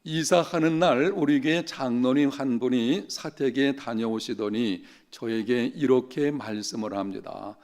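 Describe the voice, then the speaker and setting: A middle-aged man preaching a sermon as a pastor, telling stories and slowing down to stress key phrases. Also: medium pitch, darkish tone. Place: church sanctuary.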